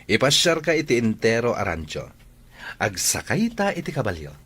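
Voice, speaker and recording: Arrogant young ranch boss, male actor, commanding, lively pitch swings, Ilocano accent, radio drama. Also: normal speed, breathy edge. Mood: smooth, showing off. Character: bossy, arrogant young rancher, swaggering with women.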